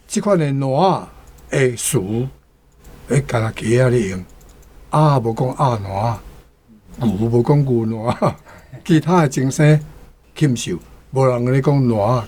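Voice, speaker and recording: A man's voice: mid-range voice, darkish tone, slightly rough. A man casually explaining the wording of a story, rambling with fillers. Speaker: guest commentator. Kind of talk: casual explanation of word usage.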